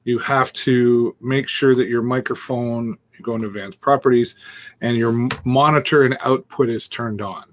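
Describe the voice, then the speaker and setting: A man's voice: mid-range voice, fairly even intonation, normal speed. A man co-hosting a casual live-stream chat, explaining his tech setup step by step with chuckles and trailing sentences, on a Bluetooth earpiece. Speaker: co-host demonstrating streaming setup.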